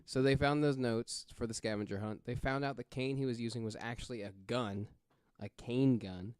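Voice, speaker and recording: A man with a fairly low voice hosts a podcast in a loose, conversational style. His voice is clean and smooth, recorded dry. He feels his way through the story haltingly, often correcting himself. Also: fairly even intonation, darkish tone, dry recording. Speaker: podcast host telling true crime stories.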